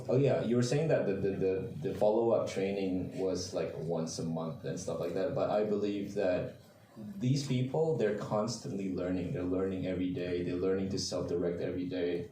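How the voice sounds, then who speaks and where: A man, a voice coach, speaking on a convention panel in an animated way, often restarting sentences. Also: sits low. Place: large convention hall. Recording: echoey hall, distant mic, faint crowd noise.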